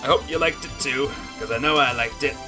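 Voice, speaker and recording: A woman's voice: a bass voice, brisk, poor audio. A woman game streamer reading a visual novel aloud and chatting casually with viewers. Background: music.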